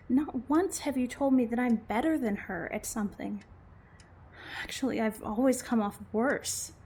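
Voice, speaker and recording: A woman's voice: fairly high pitch, mellow, breathy edge. A woman acting a patient but exasperated game master in a comedy audio drama, explaining and pleading wearily. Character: patient, exasperated female game master and put-upon girlfriend.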